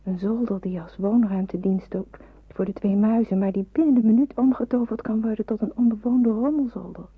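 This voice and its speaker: Radio play role: reflective young fugitive, very husky and breathy, hushed delivery, narrow phone-like sound. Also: female voice, mid-range voice, a little fast, no echo. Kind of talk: radio drama acting with first-person narration. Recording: muffled, phone-like old radio recording.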